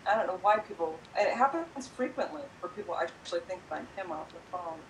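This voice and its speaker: A woman's voice: lowish voice, expressive, fast talker, slight background noise, poor audio. A tired woman chatting earnestly over a video call, hesitant and often repeating her words. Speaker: guest, host's sister. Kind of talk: casual chat.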